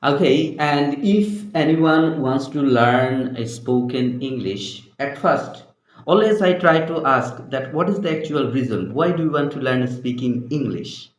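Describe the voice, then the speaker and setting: Slow-talking Bangladeshi man, echoey room. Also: mid-range voice. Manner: halting, many fillers. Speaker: English trainer. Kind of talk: unscripted conversation.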